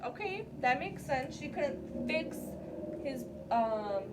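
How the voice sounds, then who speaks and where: High-voiced woman teacher, recorded at a distance. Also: expressive, slow pace. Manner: addresses young pupils, frequent checking questions like "okay?" and "right?". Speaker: teacher reading aloud to a class. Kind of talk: interactive children's story time, read-aloud with questions. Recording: distant mic, clear background room noise.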